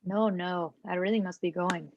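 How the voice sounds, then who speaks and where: A woman playing a scheming, patronizing foreign doctor in a table read, with slow, emphatic, stilted phrasing, on a muffled microphone. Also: lowish voice, steady tone. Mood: insistent. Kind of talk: film script table read.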